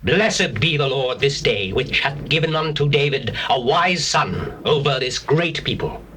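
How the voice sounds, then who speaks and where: A man's voice: medium pitch, lively intonation, a little slow, close to an everyday voice. An actor playing a gracious, pious king in a scripture drama, declaiming formally. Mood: grateful, exultant. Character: King Hiram of Tyre, gracious, pious foreign king. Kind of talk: dramatized scripture reading, archaic English. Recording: noisy old tape recording.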